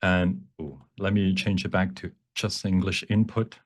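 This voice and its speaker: Low-voiced man giving an informal workshop. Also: flat delivery, a little slow. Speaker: workshop presenter, font creator. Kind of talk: informal software demonstration, explaining.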